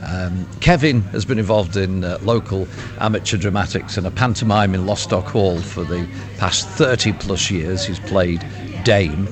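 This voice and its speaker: A man presenting a live radio show, with hesitant phrasing and drawn-out words. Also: low-pitched, music in the background.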